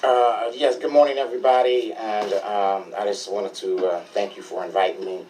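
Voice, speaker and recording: A man in his sixties, a panel guest, speaking in a measured, deliberate way on a radio talk show. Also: lowish voice, fairly even intonation, darkish tone, a little hiss or hum, low-quality recording. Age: 60s. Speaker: community elder guest.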